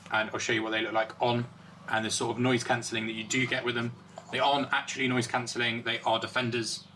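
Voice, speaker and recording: A man presents gear in an informal vlog style, explaining each step as he goes. His voice sits at an ordinary middle pitch. There is clear ambient noise behind him. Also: bright, forceful tone, low-quality recording. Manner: informal, explanatory, thinking aloud. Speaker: vlogger reviewing and installing car gear. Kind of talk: casual product review and hands-on demonstration.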